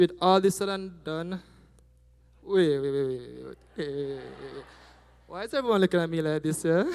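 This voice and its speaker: A man's voice: a little high, a little mellow. A young man from Jamaica with a Jamaican accent, opening a student business presentation on stage with a handheld mic, joking and checking in with the audience. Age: young adult. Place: auditorium stage. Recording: hall reverb.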